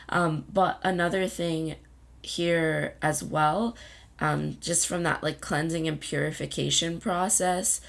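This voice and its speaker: A woman hosting a spiritual card reading in a gentle, meandering way, often searching for words, with a voice that keeps going in and out. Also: sits low, gravelly. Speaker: intuitive card reader and channel host.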